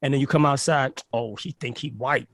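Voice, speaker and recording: A man's voice: medium pitch, normal speed, gravelly, no echo, studio quality. A man from Barbados, a guest telling stories in a casual interview, acting out quotes and restarting often. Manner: animated, acts out quotes, many restarts.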